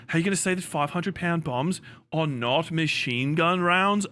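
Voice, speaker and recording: A man livestreamer giving casual commentary, ranting in a sarcastic, animated way with lots of swearing. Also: a little high, clear, resonant tone.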